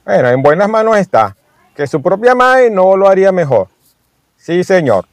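An actor playing a bossy, jealous, folksy Venezuelan bar owner in a radio soap, talking in a blustery, colloquial way. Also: male voice, sits high, sing-song, normal speed, no echo. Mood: affable. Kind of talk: radionovela acting.

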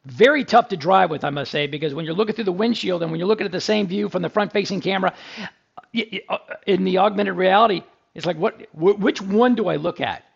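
A man's voice: high voice, quick pace, gravelly, husky, highs cut off. A man hosting a car review podcast, talking casually and with enthusiasm, full of asides to his listeners.

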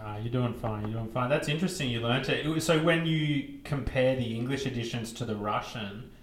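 A man hosts a podcast in a casual, curious way, gently probing his guest. His voice is mid-pitched and sounds a little distant from the mic. There is a roomy echo with faint background noise. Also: brisk. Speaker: podcast host.

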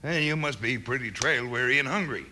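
An actor playing a belligerent frontier mine boss with rough, blustering talk in a 1950s western radio drama. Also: male voice, mid-range voice, a little fast, pushed and bright. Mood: friendly, uneasy. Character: belligerent, bullying western mine boss who turns falsely friendly. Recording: noisy old-time radio recording.

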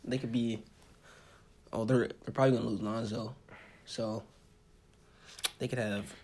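A young American man with a husky voice, co-hosting a casual sports chat in an informal, halting way and often repeating himself. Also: on the low side, steady tone, a little mellow. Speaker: podcast co-host.

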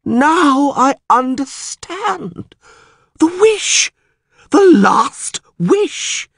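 A man's voice: medium pitch, wildly swooping pitch, moderate pace, no room sound, studio quality. A man voicing a sardonic, know-it-all bald male priest who lectures the people around him with scorn. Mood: excited.

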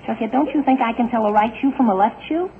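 Excitable, easily flustered schoolboy, 1940s sitcom delivery, thin crackly broadcast recording. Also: male voice, very high-pitched, quick pace. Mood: flustered, exasperated. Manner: excitable, exasperated. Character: flustered, eager teenage schoolboy son. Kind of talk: 1940s radio sitcom acting. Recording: tinny, noisy 1940s radio broadcast.

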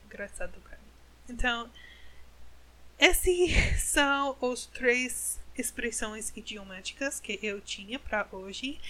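A woman, an English native speaker, hosting a podcast in Portuguese, speaking slowly and carefully as a learner and correcting herself. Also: a little high, pushed and bright, poor audio. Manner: slow, careful learner's speech with self-corrections. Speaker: podcast host. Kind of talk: casual podcast monologue.

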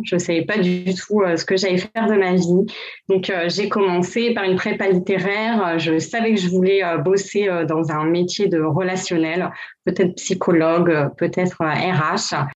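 Low-voiced woman in her thirties, hesitant. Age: 30s. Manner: hesitant, many fillers. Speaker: podcast guest, brand founder. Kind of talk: casual interview talk. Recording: dull lo-fi sound.

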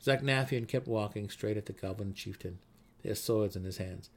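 A man's voice: on the low side, normal speed. A man narrating a fantasy story in a dramatic reading style.

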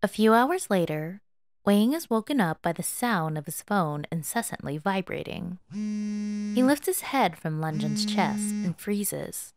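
A woman reading fiction aloud as a dramatic, expressive and emotive narrator. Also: mid-range voice, measured pace, no room sound, studio quality. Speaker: fan fiction audiobook reader.

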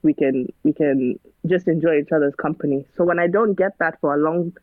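A woman's voice: low voice, brisk, dry recording. A woman, a guest in a casual conversation, talking earnestly and turning preachy, firing off rhetorical questions. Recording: dry, phone-like narrow sound.

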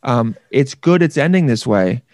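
A man's voice: medium pitch, animated, measured pace, a little mellow, no room sound. Male podcast host, playful and jokey, dry sound. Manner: playful, jokey, acts out little voices. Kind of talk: casual chat.

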